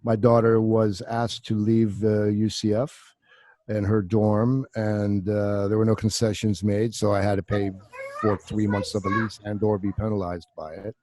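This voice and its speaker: Middle-aged man, low and dry, rambling and cursing over a home desk mic. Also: flat delivery, moderate pace, mellow, no room sound. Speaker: guest actor. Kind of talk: casual interview chat. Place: home room. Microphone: desk microphone on video call.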